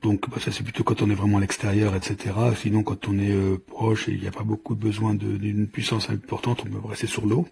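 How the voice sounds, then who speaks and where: A man's voice: fairly low pitch, little pitch movement, brisk, gravelly, few pauses, darkish tone, a little hiss or hum. A man giving an informal hands-on product demonstration, explaining in a hesitant, improvised way as he goes. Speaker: product reviewer.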